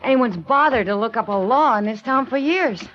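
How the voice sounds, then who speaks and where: An actress playing a gentle, concerned newspaper woman in 1940s radio acting. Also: a little high, lively intonation, normal speed, a little hiss or hum, lightly acted. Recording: dry, muffled old radio recording.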